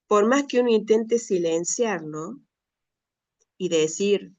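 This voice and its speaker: Argentine woman, middle aged, talking slow and earnest, bit muffled and dry. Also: mid-range voice, a little mellow, no echo. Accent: Argentine Spanish. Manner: earnest, measured, with frequent pauses and restarts. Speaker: speaker, retired school director. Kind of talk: reflective personal talk.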